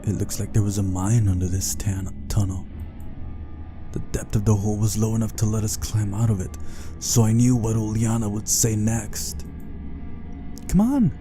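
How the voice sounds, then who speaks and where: A man's voice: on the low side, flat delivery, normal speed, music in the background. A man reading a visual novel's story aloud as scripted first-person narration for a playthrough.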